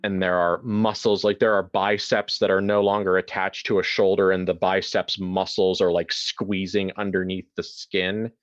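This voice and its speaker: A man improvising horror narration and casual chat in a tabletop roleplaying game, descriptive and halting as he sets a scene. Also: sits low, flat delivery, highs cut off. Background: faint music.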